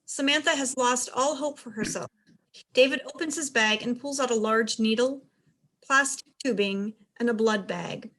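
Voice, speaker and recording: A woman serves as narrator for a play script. She reads out stage directions in scripted narration, her voice pitched a little high. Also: moderate pace, a bit bright, low-quality recording.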